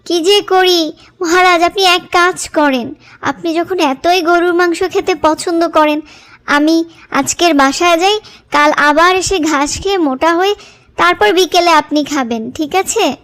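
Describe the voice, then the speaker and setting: A woman's voice: very high voice, measured pace, a bit bright. A woman voicing a clever, helpful cow in a children's cartoon, with a coaxing, mock-humble way of talking. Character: clever, helpful cow who sweetly outwits the powerful. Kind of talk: children's cartoon story dialogue.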